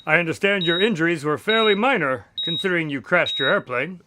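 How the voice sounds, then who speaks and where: An actor playing a polite, questioning doctor who is a detective's skeptical sidekick in an audio drama. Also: male voice, a little high, brisk, very noisy, close to an everyday voice. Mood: concerned. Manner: courteous, questioning.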